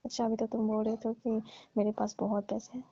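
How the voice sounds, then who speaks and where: A woman with a fairly high yet very mellow voice talks on the phone in a detached, dismissive way. Her delivery is casual, and the call recording is muffled and lo-fi. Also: level tone, a little fast, dry recording. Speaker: call partner breaking off a relationship.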